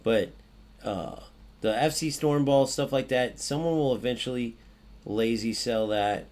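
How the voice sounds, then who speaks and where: A young man with a raspy voice that keeps cracking, casually talking through a video game's trading market as a channel host, with lots of fillers and "right?" checks. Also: medium pitch, a little slow, darkish tone. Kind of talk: casual gameplay commentary.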